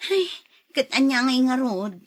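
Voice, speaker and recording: An actress playing a stubborn middle-aged unmarried schoolteacher aunt in a radio drama, with an Ilocano accent and an exasperated, emphatic manner. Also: female voice, high-pitched, expressive, unhurried. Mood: casual, dismissive.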